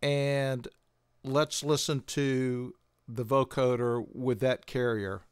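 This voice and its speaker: An older man giving a measured, methodical instructional demonstration as a tutorial presenter. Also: medium pitch, steady tone.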